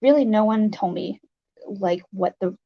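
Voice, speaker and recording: Raspy, slow-talking livestream host. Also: medium pitch, highs cut off. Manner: rambling, halting with many fillers. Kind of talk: casual livestream chat, greeting viewers.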